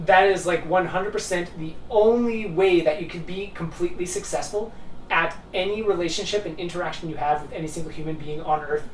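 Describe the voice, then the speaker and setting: A man's voice: high-pitched, fairly quick. A man talking casually and off the cuff about ideas, rambling and repeating words for emphasis. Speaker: host of a discussion video. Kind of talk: casual unscripted philosophical talk. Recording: roomy, distant mic with faint noise.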